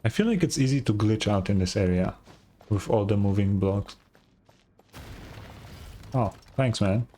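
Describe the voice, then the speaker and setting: A man's voice: on the low side, expressive, unhurried, pauses often, dark tone, a little hiss or hum. A man giving casual gameplay commentary, muttering his reactions and swearing now and then. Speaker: gamer narrating own playthrough. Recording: game music behind voice. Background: game music.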